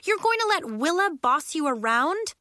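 A woman's voice: high voice, big pitch swings, normal speed, bright, forceful tone, dry recording, clean studio sound, voice pushed up, heavily acted. A woman voicing a feisty, indignant schoolgirl who protests and exclaims, in an audiobook children's story.

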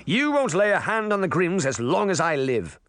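A man's voice: on the high side, lively intonation, moderate pace, fairly bright tone, no room sound, pitched above the performer's own voice. A bold, gallant storybook mayor, acted by a male narrator, speaks in a raised, commanding voice. He is proud and self-assured, with wry menace and a dry sound. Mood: defiant, self-assured.

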